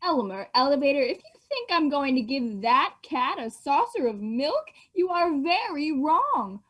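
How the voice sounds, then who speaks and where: A stern mother who hates cats , as a woman puts her on, with a high voice that leaps around as she drags out every scolding word. The voice is set in a children's story. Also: sing-song, a bit bright, heavily acted. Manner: slow, stern, emphatic scolding. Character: strict, cat-hating mother of a boy.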